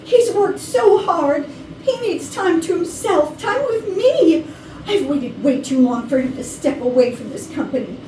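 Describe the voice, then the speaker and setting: A woman's voice: very high voice, lively intonation, measured pace, warm tone. A woman playing a sweet, plaintive and flirty wife of a company boss in a staged comedy reading. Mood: upset, plaintive. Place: rehearsal room. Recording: echoey room, distant mic, faint noise.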